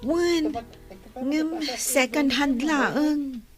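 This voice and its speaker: Very high-voiced Filipino teenage daughter. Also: female voice, extremely slow, music in the background. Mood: polite, dutiful. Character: earnest, questioning teenage daughter, worried and dutiful. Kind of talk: Ilocano radio drama acting.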